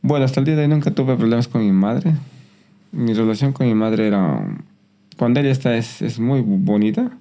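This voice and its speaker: A man, giving a personal testimony in a soft, reflective way with some hesitant restarts. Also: mid-range voice, a little fast. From Peru. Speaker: guest.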